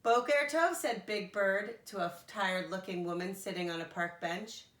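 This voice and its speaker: A woman, a nursery school teacher, reading a children's storybook aloud with expressive, animated delivery. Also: fairly low pitch, moderate pace, reverberant.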